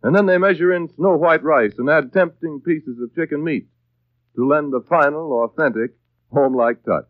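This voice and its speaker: A man's voice: fairly high pitch, normal speed, no echo. A man announcing and selling in polished, emphatic, folksy 1930s radio advertisement style. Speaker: radio announcer and sponsor pitchman. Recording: dull vintage 1930s radio recording.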